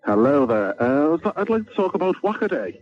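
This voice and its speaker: A man's voice: fairly high pitch, sing-song, moderate pace. A man calling a radio phone-in, chatting casually and cheekily. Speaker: phone-in caller. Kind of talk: casual chat. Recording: tinny phone line.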